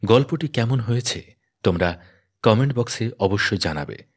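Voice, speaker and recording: Low-pitched man reading a channel outro. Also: animated, moderate pace, slight background noise. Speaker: channel announcer. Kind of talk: scripted outro announcement.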